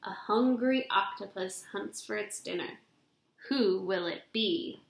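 A young adult woman reading a children's picture book aloud in an expressive, deliberate storybook delivery, recorded in a room at home. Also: mid-range voice, gravelly. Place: small indoor room at home. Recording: roomy, echoing home webcam recording.

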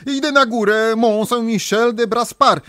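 A man's voice: very high voice, measured pace, sharp tone, no room sound, studio quality, pitched above the performer's own voice, heavily acted. A man voicing a hapless, sorrowful fellow seeking happiness, earnest and pleading.

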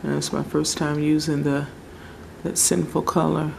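A woman casually talking through a home nail tutorial, relaxed and chatty, often searching for words. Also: sits low, normal speed, creaky, slight background noise. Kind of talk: casual how-to narration. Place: home table, indoors.

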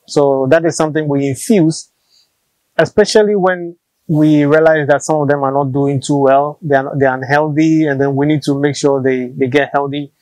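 A man's voice: fairly high pitch, steady tone, moderate pace, darkish tone, no echo, poor audio. Ghanaian man explaining snail farming. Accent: Ghanaian English. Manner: patient, practical, repeats words while explaining. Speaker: snail farmer. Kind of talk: explaining farm practice in an interview. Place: open-sided farm shelter outdoors. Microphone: lapel mic.

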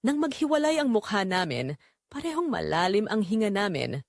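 A woman's voice: medium pitch, sing-song, a little fast, gravelly, no room sound. Woman acting a guilt-ridden young woman, dry close sound. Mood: tense longing. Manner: emotive, confessional storytelling. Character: Bianca, guilt-ridden young woman confessing forbidden love. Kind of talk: dramatic first-person audio drama narration in Tagalog.

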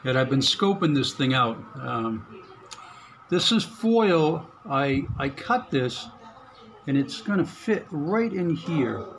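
A man giving casual, unscripted how-to commentary on an electronics project, halting as he thinks aloud. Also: a little high, very animated, slight background noise. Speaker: hobbyist narrating an electronics modification. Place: indoors at a work table.

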